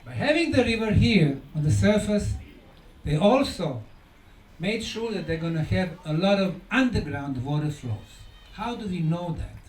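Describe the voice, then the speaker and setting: A man giving a conference lecture in an emphatic, deliberate way, repeating key words for effect. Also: high voice, animated, a little mellow. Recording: echoey room, distant mic, faint noise.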